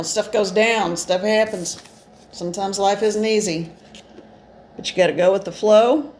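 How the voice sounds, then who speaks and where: A woman with a gravelly voice giving an informal spiritual card reading, talking in an earnest, meandering way with gentle encouragement. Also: medium pitch. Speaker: spiritual channeler and card reader. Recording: echoey room with faint noise.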